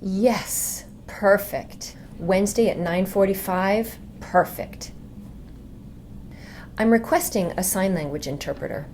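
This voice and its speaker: A woman's voice: medium pitch, slow, darkish tone. A woman voicing an earnest, insistent deaf patient in an educational dramatization. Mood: polite. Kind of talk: voiced dialogue and scripted explanation in an educational video. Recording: faint background noise.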